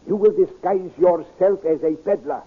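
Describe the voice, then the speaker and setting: A man playing a calm space commander in an old radio drama, measured and authoritative. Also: high-pitched, slightly rough. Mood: commanding. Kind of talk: 1930s radio drama acting. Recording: tinny, narrow old radio recording.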